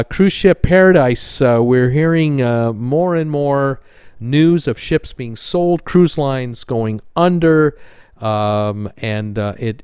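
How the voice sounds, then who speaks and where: A man with a North American accent, hosting an informal news commentary video in a halting, hesitant way with frequent pauses. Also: medium pitch, darkish tone, clear, resonant tone, no echo, studio quality. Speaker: host of a cruise news channel.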